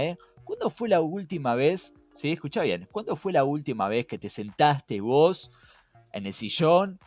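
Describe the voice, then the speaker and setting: A young man with a Rioplatense accent co-hosts a chatty podcast. He speaks at a middling pitch and stresses his points, often addressing the listener with rhetorical questions. Faint music plays behind him. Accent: Rioplatense Spanish. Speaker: podcast co-host. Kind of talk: conversational podcast hosting. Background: background music.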